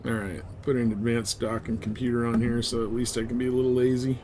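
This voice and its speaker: A man's voice: mid-range voice, little pitch movement, fast talker, darkish tone, slight background noise. A man livestreaming a space game, giving casual, offhand commentary and chatting with viewers in short remarks. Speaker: live streamer playing a space game.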